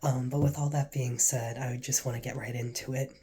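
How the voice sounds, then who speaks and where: A man with a cold and a stuffy nose, telling his faith testimony in a casual, earnest, rambling way, now and then dropping to a soft whisper. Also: mid-range voice, little pitch movement, a little fast, slightly rough. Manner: earnest, rambling, heavy with fillers. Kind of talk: casual spoken testimony to camera.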